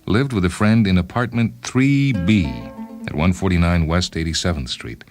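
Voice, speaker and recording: A man's voice: deep voice, moderate pace. A man voicing a pedantic, self-absorbed inventor in his thirties, narrating a science-fiction story in the first person. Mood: pedantic, self-satisfied. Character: obsessive thirty-two-year-old time-travelling inventor, pedantic, coolly murderous. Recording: music behind the reading.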